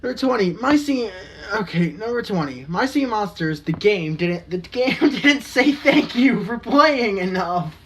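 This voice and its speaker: A woman's voice: mid-range voice, expressive, a little slow, few pauses, distant mic, a little hiss or hum. A woman hosting a casual reaction commentary in a mocking tone, often stumbling and repeating words. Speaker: commentary host.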